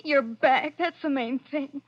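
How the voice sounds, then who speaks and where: An actress playing a devoted, urgent, sharp-tongued young sweetheart in a melodramatic 1940s radio play. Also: female voice, high voice, takes it slowly. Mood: troubled, urgent. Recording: dull lo-fi old radio recording.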